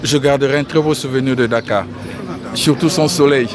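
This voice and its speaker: A man from the Republic of the Congo giving an outdoor press interview, speaking in a measured way and repeating key phrases. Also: medium pitch, steady tone. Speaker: interviewee, financial engineering expert. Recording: crowd noise behind.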